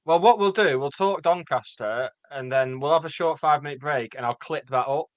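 Co-host, rambling and excitable, dry recording. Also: male voice, fairly quick, no room sound, muffled recording. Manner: rambling, pitch jumps up and down. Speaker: podcast co-host. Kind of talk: casual chat.

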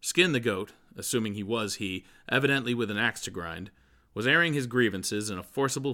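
A man's voice: on the low side, pushed and bright. A man reading literary prose aloud as a scripted audiobook narration. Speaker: audiobook reader.

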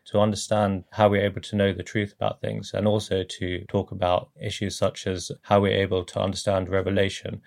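A man giving an academic explanation as an expert interviewee, with formal, measured wording. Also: low voice, little pitch movement, gravelly, a little mellow. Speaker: expert interviewee, philosopher and scientist.

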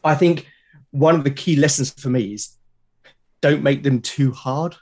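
A British man talking casually as an interview guest, explaining things haltingly and often repeating his words. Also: mid-range voice, darkish tone. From Britain. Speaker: podcast guest, game master and podcast creator. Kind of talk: casual conversational interview talk.

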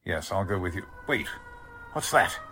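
An actor playing a curt, wary gang boss in a 1940s-style radio crime drama. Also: male voice, low voice, lively intonation, moderate pace, sharp tone, slight background noise. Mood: brisk, commanding. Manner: curt, commanding. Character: male gang boss, commanding, wary crook.

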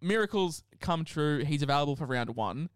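A man's voice: a little high, expressive, normal speed, clear, resonant tone, dry recording, studio quality. A young man co-hosting a podcast, chatting bluntly and opinionatedly about sports, with casual swearing. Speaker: podcast co-host.

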